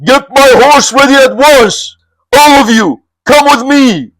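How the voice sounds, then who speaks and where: A brash bandit chief, put on by a man, shouts commands in a raised voice. He sounds high and forceful. The recording is dry and close. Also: unhurried, no room sound, rough recording. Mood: commanding.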